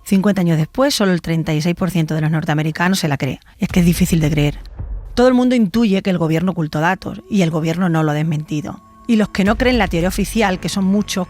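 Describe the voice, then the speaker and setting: A woman's voice: lowish voice, a little mellow. A woman narrating a scripted true crime documentary as a podcast host.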